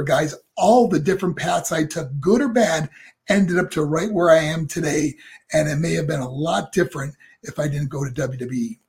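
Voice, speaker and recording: A middle-aged man with a raspy voice, a guest and former wrestler rambling through stories with lots of fillers. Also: a little high. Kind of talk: casual interview storytelling.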